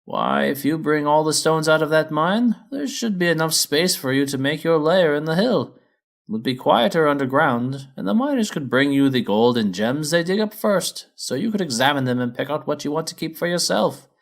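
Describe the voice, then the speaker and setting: A man voicing a wise, helpful old male pony from a storybook, with measured, drawn-out emphasis. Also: fairly high pitch, animated, vocal fry, voice pushed up. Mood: encouraging. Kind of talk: storybook character voice.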